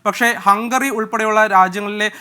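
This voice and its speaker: Male desk reporter, high bright voice, live briefing with many hesitations. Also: brisk, smooth. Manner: steady informative delivery with frequent hesitations. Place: news desk.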